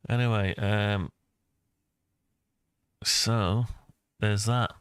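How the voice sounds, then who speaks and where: An older man with a low, smooth voice talks through a screen-recorded tutorial in a casual way. His delivery stays very flat as he rambles and thinks aloud. The sound is close and dry, as from a desk microphone. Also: drawn-out words, no echo. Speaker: maker and video host.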